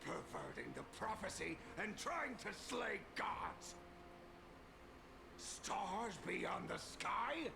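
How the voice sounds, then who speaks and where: A man's voice: sits high, lively intonation, takes it slowly, vocal fry, full character voice. A man playing a fanatical, outraged priest in a video game, declaiming accusations in a noisy capture.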